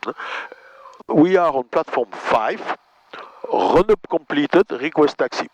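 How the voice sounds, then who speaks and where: A man's voice: on the high side, lively intonation, slow. A man working as a flight instructor, patiently explaining radio calls step by step and prompting phrases to repeat. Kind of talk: hands-on cockpit coaching. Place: small training aircraft cockpit. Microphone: aviation headset. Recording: muffled aircraft intercom audio.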